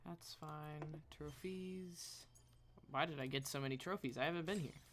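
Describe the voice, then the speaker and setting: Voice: medium pitch, moderate pace, fairly bright tone, slight background noise. A game streamer chatting casually and rambling while thinking aloud on a live stream.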